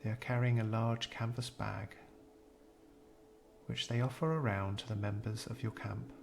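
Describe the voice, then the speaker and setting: A man narrating a scripted guided meditation in a measured, soothing, gentle way, pausing between phrases. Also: lowish voice, fairly even intonation, creaky, a little hiss or hum.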